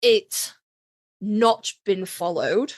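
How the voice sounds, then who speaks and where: A woman co-hosting a podcast, chatting casually as a fan in an animated, emphatic, joking way with plenty of swearing. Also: medium pitch, drawn-out words, no room sound. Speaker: podcast co-host. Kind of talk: casual fan chat about a TV series.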